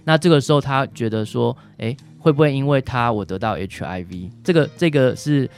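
A man's voice: mid-range voice, animated, fairly quick, creaky, darkish tone, faint music behind. A man with a Taiwanese Mandarin accent, a doctor answering as an interview guest, explaining things in a hesitant way with frequent tag particles.

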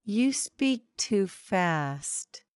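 Very slow, crisp woman reading sentences. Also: mid-range voice, big pitch swings, dry recording, studio quality. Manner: careful, evenly paced repetition. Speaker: English sentence reader. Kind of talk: slow, clear example-sentence reading for learners.

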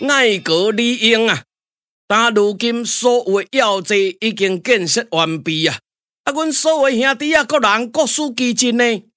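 A man's voice: extremely high pitch, normal speed, sharp tone, no room sound, clean studio sound. A man with a Taiwanese accent voicing a comic, chatty patrol guard in a puppet radio drama. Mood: jaunty, bossy. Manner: colloquial, clownish chatter. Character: chatty, comic male camp patrol sentry.

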